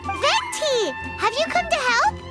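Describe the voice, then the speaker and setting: A tiny fairy sidekick with an extremely high, squeaky voice. She is cheeky and nosy, swooping around in pitch at an unhurried pace. Music plays clearly behind her. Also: huge pitch swings, full character voice. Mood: excited. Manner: speaks of self in third person, squeaky and emphatic. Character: tiny female floating fairy companion, childlike, cheeky and nosy. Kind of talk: anime-style video game voice acting.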